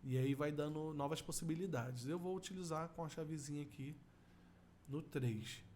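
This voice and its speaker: A middle-aged man with a Brazilian accent giving an informal software tutorial as an audio effects instructor, explaining step by step. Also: fairly high pitch, moderate pace. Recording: steady room noise.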